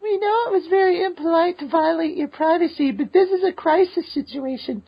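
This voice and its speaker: A woman voicing a sweet, composed young woman mage in a fan podcast read-through, speaking in a soft, polite way. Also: extremely high pitch, fairly quick. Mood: calm. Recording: muffled lo-fi recording.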